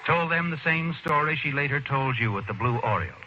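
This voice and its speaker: An actor as the narrator of an old radio thriller, addressing the heroine in insinuating, dramatic, deliberate phrases. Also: male voice, medium pitch, animated, a little hiss or hum, natural voice. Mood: suspenseful. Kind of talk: 1940s radio drama narration in second person. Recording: dull old radio recording, loud music behind. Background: dramatic music.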